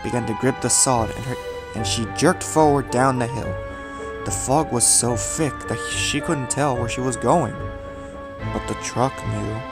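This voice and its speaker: A man's voice: mid-range voice, measured pace. Man narrating a horror story over music.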